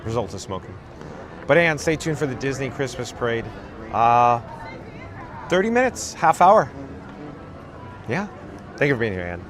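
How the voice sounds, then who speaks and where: Raspy man livestreaming from a crowd. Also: mid-range voice, normal speed, long pauses. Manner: dry, self-mocking, monotone. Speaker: livestream host reading chat. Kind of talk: casual live-stream chatter. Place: outdoors on a parade route. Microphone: camera rig held by the speaker. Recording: outdoor crowd with music behind.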